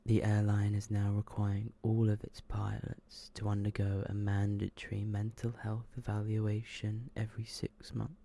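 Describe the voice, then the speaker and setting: A man softly reading short horror stories in an ASMR style, slow and hushed, often slipping into a whisper. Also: on the low side, vocal fry. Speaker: ASMR video creator and host.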